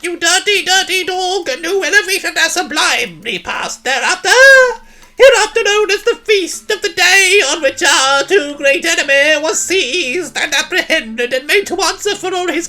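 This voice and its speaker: Squeaky, pompous little gnome king. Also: pushed and bright, heavily acted. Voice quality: high, squeaky. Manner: bombastic, long-winded speechifying.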